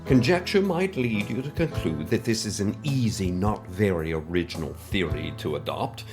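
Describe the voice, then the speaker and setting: A man playing a pompous, mysterious conspiracy theorist in a formal, verbose, pedantic scripted monologue. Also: lowish voice. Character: pompous mysterious male conspiracy-theorist scientist on a cassette letter.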